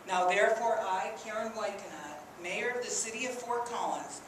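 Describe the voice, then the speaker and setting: A woman reads an official proclamation in an echoey council chamber, with faint noise behind her. She speaks as a mayor, in a measured, ceremonial style at a middling pitch. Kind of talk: formal read-out proclamation.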